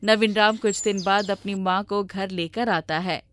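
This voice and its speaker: A woman's voice: medium pitch, fairly even intonation, a little slow, clean tone. A woman reading scripted storybook narration as the storyteller.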